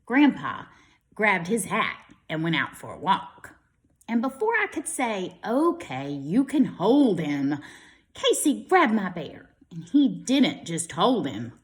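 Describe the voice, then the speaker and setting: An American woman reads aloud as a kindergarten teacher to small children. Her pitch moves up and down a great deal, and she is expressive, pausing to explain things in simple words. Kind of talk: animated read-aloud to young children.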